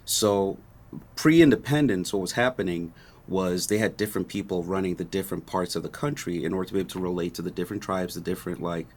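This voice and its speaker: A middle-aged man from Nigeria, a native Yoruba speaker, explaining history calmly in an interview and often checking in with "right?". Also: low voice. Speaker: guest, rapper. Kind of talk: relaxed explanatory interview talk.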